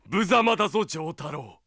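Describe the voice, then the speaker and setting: An actor playing a cold, arrogant young villain in a Japanese anime audio drama, theatrical and condescending. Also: male voice, sits high, creaky, sharp tone, no room sound, studio quality, exaggerated voice. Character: cold, arrogant young male villain serving an evil master. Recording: dramatic music behind the voice.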